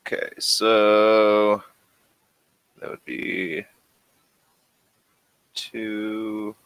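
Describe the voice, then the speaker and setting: A man running a tabletop horror game as game master, narrating in a slow, suspenseful way with pauses. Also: fairly low pitch, level tone, a bit bright, clean tone, no echo, low-quality recording.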